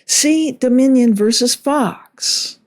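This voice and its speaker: A woman delivering scripted political commentary in a measured, deliberate way with emphatic phrasing. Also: sits high. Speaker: video commentator.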